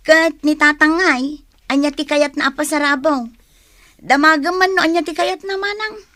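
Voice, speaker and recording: A woman's voice: high voice, moderate pace, bright, forceful tone, dry recording, clean studio sound. A woman with an Ilocano accent playing a sweet, agreeable younger sister in a radio comedy drama. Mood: caring, curious. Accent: Ilocano, northern Philippines.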